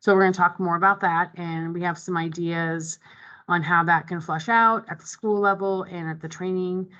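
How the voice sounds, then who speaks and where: Low-voiced woman on dull call audio. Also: steady tone, moderate pace, fairly bright tone. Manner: conversational, with many ums and restarts. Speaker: meeting facilitator. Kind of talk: casual explanation at an online committee meeting. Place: online video call. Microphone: video call microphone.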